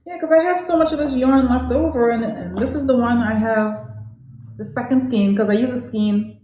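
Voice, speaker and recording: Animated woman knitter, echoey room. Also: fairly high pitch, moderate pace. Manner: animated, laughing, repeats herself. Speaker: podcast co-host. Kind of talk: casual chat about knitting. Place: home living room, indoors.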